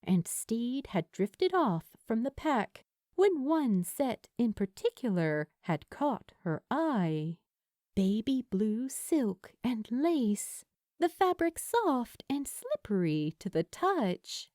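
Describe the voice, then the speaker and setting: A woman reading a story aloud as a narrator, in a measured, expressive storytelling manner. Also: fairly high pitch, no room sound, studio quality. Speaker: fan fiction narrator.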